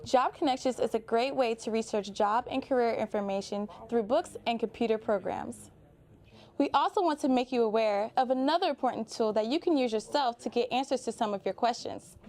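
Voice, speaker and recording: A woman's voice: fairly high pitch, fairly quick, darkish tone. A woman giving a scripted informational narration as a college advising presenter, explaining things step by step.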